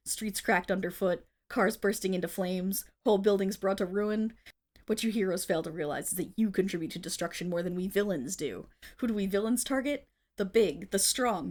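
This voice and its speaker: A woman's voice: medium pitch, brisk, a little mellow, close to an everyday voice. A woman playing a theatrical but bashful, lovestruck female supervillain in an ASMR roleplay audio drama. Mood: grand, menacing. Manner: theatrical villain declaiming that flips into flustered, affectionate chatter.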